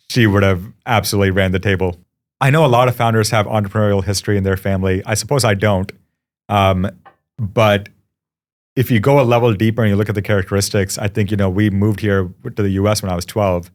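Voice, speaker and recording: Low-voiced man from India, 30s, founder guest; casual, reflective, pausing often. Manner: thoughtful, reflective, often pausing with "you know". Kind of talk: casual interview conversation.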